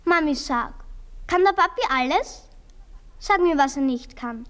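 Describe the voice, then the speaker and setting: A woman's voice: high-pitched, animated, a little slow, a bit bright. A woman playing a curious small boy who pesters his mother with questions in a German radio play. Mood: curious, eager.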